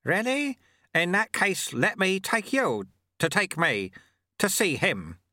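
A man voicing a cheerful, amiable little old monk sweeper in an audiobook, wryly modest, with a breezy, gently teasing manner. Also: sits high, huge pitch swings, slow pace, no echo, studio quality, pitched above the performer's own voice, full character voice.

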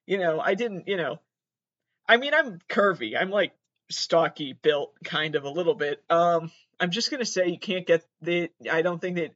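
Male podcast host, North American, notably high voice. Casual, profane, self-mocking solo rambler, recorded dull on a laptop. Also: moderate pace, fairly bright tone. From Massachusetts, United States. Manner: self-deprecating, profane, digressive. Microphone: built-in laptop microphone.

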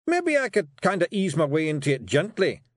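A man reading an audiobook, voicing a gruff small male warrior pixie chief with a Scottish accent who growls and blusters. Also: fairly high pitch, wildly swooping pitch, a little fast, dry recording, clean studio sound, pitched above the performer's own voice. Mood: growling. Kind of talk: audiobook character voice.

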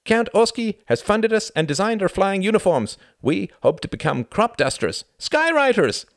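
A man's voice: sits high, very animated, moderate pace, no echo, clean studio sound, voice pushed up. A man voicing a boisterous young German pilot in an audiobook story, boastful and mocking in manner. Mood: boastful, sly. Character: boisterous, cocky young German male pilots, militaristic swagger.

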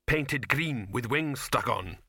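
A man voicing a big, friendly troll who talks slowly and heavily. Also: medium pitch, animated, bright, forceful tone, slightly rough, no room sound, clean studio sound, heavily acted.

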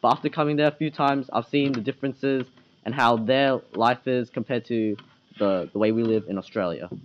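A teenage student who hosts a school podcast, talking in a casual, earnest way. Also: male voice, normal speed. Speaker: student interviewer. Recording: dull low-fidelity school recording.

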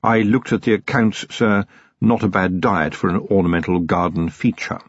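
A man voicing a polite, composed male butler who speaks in a measured, deferential way. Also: deep voice, no echo. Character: calm, proper male butler. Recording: dull, dry, lo-fi recording.

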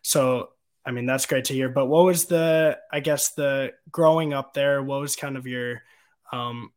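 A man's voice: mid-range voice, steady tone, measured pace. A man hosting a podcast, asking long, rambling questions with plenty of "um" and "uh" in a casual tone. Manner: rambling questions with filler words. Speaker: podcast host.

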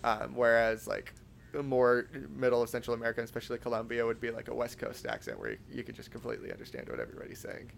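A young man hosting a casual podcast interview, talking in a halting way with lots of fillers and restarts. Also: medium pitch, expressive, creaky, slight background noise. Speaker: podcast host.

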